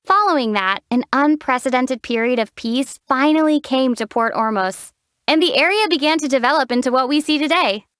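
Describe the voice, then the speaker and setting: An actress voicing a calm, wry young female traveler hero in a video game, giving dry teasing explanations and sharp battle shouts. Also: high-pitched, normal speed, smooth, no room sound. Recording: dry close studio voice.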